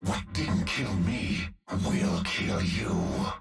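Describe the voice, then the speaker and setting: An actor voicing a cruel, menacing male cyborg executioner, with a deep gravelly voice muffled as if through a gas mask, delivered slowly and subdued. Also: sing-song, loud music behind the voice, full character voice. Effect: muffled mask filter. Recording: echoey game audio played back from a distance.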